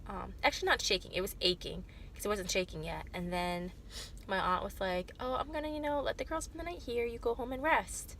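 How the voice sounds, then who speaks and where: A woman's voice: mid-range voice, a little fast, a bit bright, slight background noise. A young woman with a cold, sounding congested and nasal, chatting casually to camera in a rambling way full of fillers and self-corrections. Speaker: vlogger.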